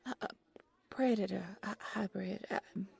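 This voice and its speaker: A young woman playing a shy, flustered bunny-girl receptionist in an audio roleplay, speaking in a soft, breathy voice with a nervous, apologetic stammer. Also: medium pitch, a little slow, no echo, exaggerated voice. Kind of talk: audio roleplay character acting.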